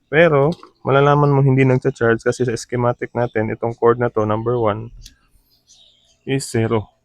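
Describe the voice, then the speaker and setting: A man's voice: mid-range voice, level tone, moderate pace, darkish tone, no echo. A man from the talks through a hands-on repair. He is informal and practical, explaining each step as he goes. English technical terms are mixed into his speech. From Philippines. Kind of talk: casual repair demo vlog.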